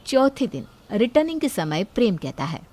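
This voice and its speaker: A woman narrates a scripted moral tale as a storyteller. Her voice sits at a middle pitch. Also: sing-song, moderate pace, a little mellow.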